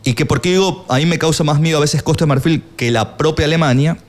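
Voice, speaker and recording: A man, giving measured football analysis on a sports talk panel. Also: on the high side. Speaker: football pundit.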